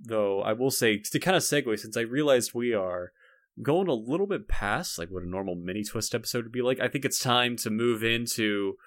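A man's voice: mid-range voice, moderate pace, a bit bright, no room sound. A man with a mid-range voice chats casually as a podcast co-host. He rambles along and interrupts his own thoughts. The sound is dry, with very little room to it. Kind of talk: casual chat.

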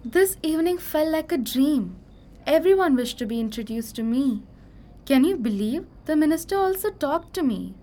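Young woman doing an anxious vain young wife, high voice, dramatic and plaintive, student radio play. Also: lively intonation, moderate pace, mellow. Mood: dreamy, elated. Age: young adult.

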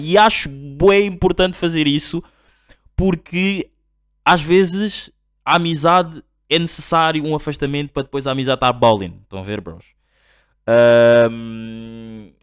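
A man's voice: mid-range voice, smooth, no echo, clean studio sound. A young man from Angola hosting a podcast, talking casually and loosely with lots of slang fillers. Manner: slangy, drawn-out "tipo" and "bro". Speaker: podcast host.

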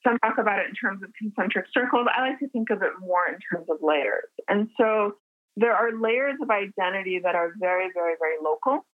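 A woman born in the United States explaining history in a measured lecture style, with occasional fillers. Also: medium pitch, lively intonation, no echo. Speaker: interviewed scholar. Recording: tinny phone-like line, faint music.

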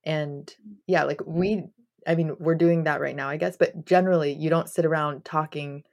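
A woman talks casually on a podcast in a low voice with plenty of vocal fry. She puts things in a matter-of-fact, conversational way. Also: steady tone, moderate pace. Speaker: podcast host. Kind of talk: casual discussion.

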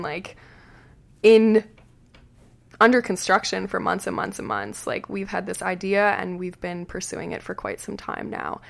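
A young woman in her twenties with a gravelly, fry-tinged voice, chatting candidly into a big studio microphone. She is from Prince Edward Island and talks in a giggly, upbeat, unfiltered way as a podcast co-host. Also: mid-range voice, fairly bright tone. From Prince Edward Island, Canada. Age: 20s. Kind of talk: casual chat. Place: studio room. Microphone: large studio microphone.

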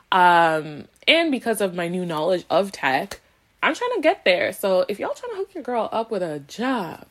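A woman in her late twenties talks casually as a podcast co-host. Her delivery is playful and sassy, with slangy asides and repeated words for emphasis. Her voice sits at an ordinary pitch for a woman. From Canada. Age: late 20s. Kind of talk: casual self-introduction, conversational podcast talk.

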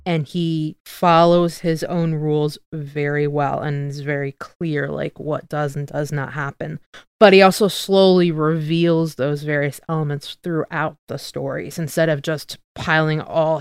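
A woman with a notably low, smooth voice talks casually, as a podcast co-host would. She works out her thoughts aloud and corrects herself along the way. The sound is close and very dry. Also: steady tone, a little slow, darkish tone, no echo. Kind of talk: casual conversation.

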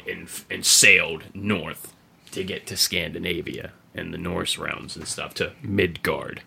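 A man hosting a podcast, explaining video game lore in a casual, rambling, sweary way, with a gravelly, husky voice. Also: deep voice, steady tone, unhurried, pushed and bright, slight background noise. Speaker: podcast host.

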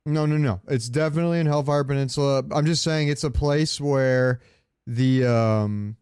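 Man, podcast co-host, casual gaming chat, clear smooth voice, dry recording, rambling delivery. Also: medium pitch, normal speed, darkish tone, dry recording. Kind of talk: casual chat about video games.

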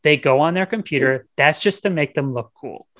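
A man's voice: fairly high pitch, normal speed, a bit bright. A man co-hosting a podcast, casually retelling a movie in a rambling, slangy, profane way. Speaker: podcast co-host. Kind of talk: casual movie review banter.

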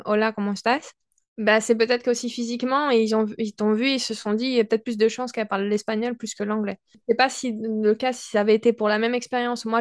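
Woman podcast co-host, language teacher, Mexican-tinged French accent, reflective and halting, slightly high voice, dry recording. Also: no room sound. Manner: thoughtful, hesitant with many restarts and fillers. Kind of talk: casual conversation.

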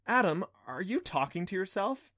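A man's voice: high-pitched, very animated, moderate pace, bright, forceful tone, no room sound, studio quality, pitched above the performer's own voice, exaggerated voice. A man voicing a caring adult mother in a storybook, bewildered and earnest, with a tender, questioning manner. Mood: dumbfounded.

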